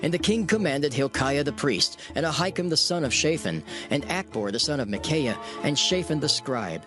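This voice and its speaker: A man narrating scripture from a script in a solemn, measured manner. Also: fairly high pitch, lively intonation. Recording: music bed under the voice.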